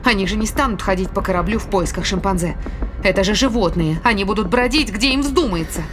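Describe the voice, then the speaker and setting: An actress plays a cautious woman in a dramatic audio play, with strong music behind her. She is of middling pitch and protests in a reproachful, sarcastic way. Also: quick pace, close to an everyday voice. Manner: reproachful, sardonic.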